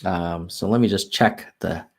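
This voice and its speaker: A man's voice: deep voice, a little slow. An American man hosting a casual livestream, thinking aloud over charts and reading viewer chat, with frequent ums and self-corrections. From United States. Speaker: livestream host. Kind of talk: casual data walkthrough on livestream.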